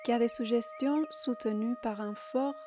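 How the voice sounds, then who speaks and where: A woman guiding a meditation as a yoga nidra teacher, in short, unhurried phrases with long pauses, giving soothing instructions. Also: fairly high pitch, steady tone, background noise.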